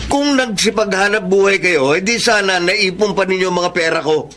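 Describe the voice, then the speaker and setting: An actor playing a bitter, blaming, hot-tempered elder brother in a heated radio drama. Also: male voice, high-pitched, normal speed, pushed and bright, a little hiss or hum. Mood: accusing.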